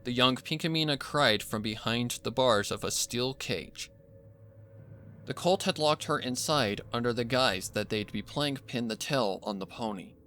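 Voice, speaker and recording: A man reading a dark horror story as a dramatic scripted narration. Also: medium pitch, measured pace, a little hiss or hum. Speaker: fan fiction narrator.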